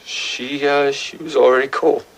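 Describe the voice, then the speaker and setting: An actor playing an embittered American fiance in his twenties, halting and defensive under police questioning in an audio drama. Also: mid-range voice, flat delivery, natural voice, low-quality recording. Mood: bitter, anguished.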